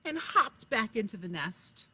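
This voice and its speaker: Animated woman reading to young children. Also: fairly high pitch, unhurried. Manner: encouraging, asks questions of children. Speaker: kindergarten teacher. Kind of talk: children's read-aloud with asides to young listeners. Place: outdoors in a backyard. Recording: clear outdoor background noise. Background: outdoor noise.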